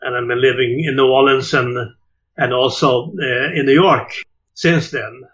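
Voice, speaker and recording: An older man from Sweden recalls his life in a relaxed interview. His voice is bright and forceful, and he stumbles through fillers and restarts. The audio sounds dull and lo-fi. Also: mid-range voice, a little slow. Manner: hesitant, many uh and repeated words. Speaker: jazz clarinetist guest.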